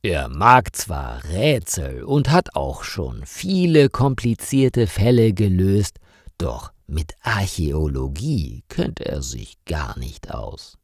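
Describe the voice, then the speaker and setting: Slow, lively male story narrator. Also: lowish voice, no room sound, clean studio sound. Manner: slow, expressive storytelling with dramatic rises. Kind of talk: scripted story narration for German learners.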